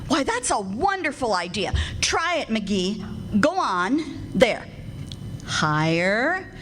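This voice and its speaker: A woman playing a patient, dry-witted wife who gently chides, in old-time radio comedy style. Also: a little high, big pitch swings, takes it slowly, faint music behind, exaggerated voice. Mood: dry, chiding. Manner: dry, soothing, gently chiding. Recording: noisy live stage recording.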